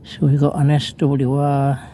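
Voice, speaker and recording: Slow, creaky-voiced man thinking aloud. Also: medium pitch, monotone, dark tone, slight background noise. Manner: hesitant, thinking aloud as he works. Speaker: amateur radio hobbyist demonstrating his setup. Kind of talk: unscripted how-to vlog narration.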